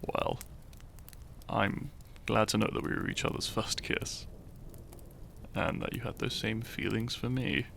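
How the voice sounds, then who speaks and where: Male actor as shy lovestruck hero: very creaky low voice, gentle, intimate, sleepy, romantic audio drama. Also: steady tone, slight background noise, heavily acted. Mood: tender, earnest. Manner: slow, gentle, intimate, halting. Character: shy, tender, sleepy young hero in love.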